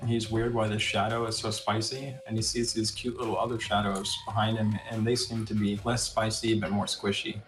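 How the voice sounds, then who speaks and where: Low, gravelly man playing a tabletop game. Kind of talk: casual in-game narration of own character. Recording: roomy sound with faint music behind.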